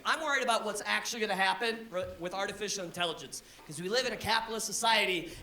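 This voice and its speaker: A man from Kansas with a high-pitched voice doing a brash, profane stand-up set. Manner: brash, profane, animated storytelling. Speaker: stand-up comedian. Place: large comedy venue before a live audience. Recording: echoey live theater, crowd laughter. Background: audience laughter.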